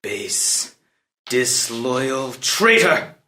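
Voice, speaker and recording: An actor playing a volatile young prince in a declamatory classical stage play reading. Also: male voice, high voice, moderate pace, a bit bright, slight background noise. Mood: restrained fury. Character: volatile young prince torn between fury and mercy. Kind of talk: unrehearsed table read of classical verse drama. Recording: echoey room.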